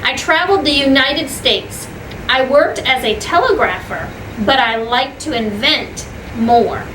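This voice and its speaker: Woman, teacher reading to children, simple explanatory asides, repeated points, questions to listeners, distant mic, clear background noise. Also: high voice, animated, measured pace, echoey room. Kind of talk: children's story read-aloud with explanatory asides.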